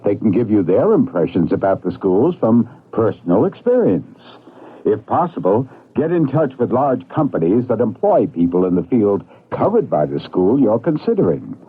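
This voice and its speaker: A man reads an advisory public service spot as a radio announcer. His voice is fairly low, and the old broadcast sounds tinny. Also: big pitch swings, normal speed, husky. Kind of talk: scripted advisory radio read.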